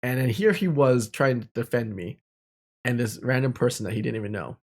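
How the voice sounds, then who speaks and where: A man's voice: mid-range voice, brisk. A young man telling personal stories in a casual, candid and reflective way, speaking informally. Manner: candid, informal, with mild swearing.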